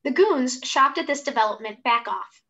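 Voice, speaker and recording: A woman's voice: high voice, animated, moderate pace, bright, forceful tone. A woman in her twenties reading scripted stage directions for a play over a video call. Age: 20s. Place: room at home, indoors.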